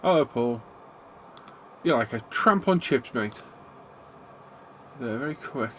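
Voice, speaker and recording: A man's voice: mid-range voice, animated, takes it slowly, slight background noise. A middle-aged man, hosting a casual live stream from his hobby room. He sounds bunged up from a cold and greets his viewers by name as he chats. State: congested, getting a cold.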